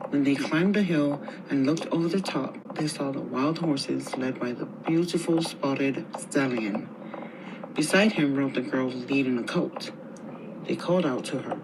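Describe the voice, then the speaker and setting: A woman reading a picture book aloud in a steady, even way. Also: rumbling low, measured pace, background noise.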